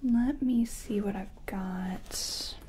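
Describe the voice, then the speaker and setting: A woman speaking in a breathy whisper and soft tones, gently chatting in an ASMR personal-attention roleplay as a makeup artist. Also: mid-range voice, very slow, slight background noise.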